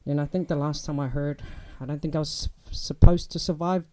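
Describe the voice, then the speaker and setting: A young man with a New Zealand accent talks through his life in a relaxed, chatty way, often pausing on fillers. His voice sits a little high for a man and sounds soft and mellow rather than bright. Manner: relaxed, chatty, many ums and restarts. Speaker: guest. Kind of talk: casual interview chat.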